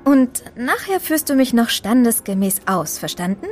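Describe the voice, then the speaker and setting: An actress playing a feisty, sharp-tongued young woman in an audio drama, sassy and commanding. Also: fairly high pitch, big pitch swings, moderate pace. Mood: bossy, teasing.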